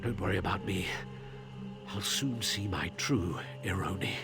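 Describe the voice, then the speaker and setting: An actor playing a desperate, wounded, grieving husband in a fantasy video game, speaking haltingly and in pain. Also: male voice, low-pitched, gravelly. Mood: weak, pleading. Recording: music behind the voice.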